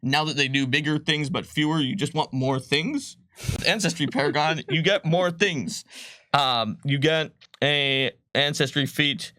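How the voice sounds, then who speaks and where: A man walks through tabletop rules in a loose, rambling way, peppering his talk with likes and ums. His voice is bright and forward, captured dry and close. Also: on the high side, a little slow, no room sound. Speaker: game designer presenting rules. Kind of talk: casual livestream rules explainer.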